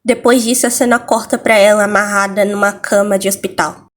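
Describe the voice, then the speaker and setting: A woman's voice: medium pitch, fairly even intonation, brisk. A Brazilian woman narrating a scripted video recap and commentary, explaining things with informal asides. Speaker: video essayist.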